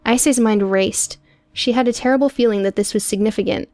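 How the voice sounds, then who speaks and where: A woman reading scripted audiobook prose in a measured, dramatic storytelling manner. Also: fairly high pitch, darkish tone, dry recording, studio quality. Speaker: audiobook narrator.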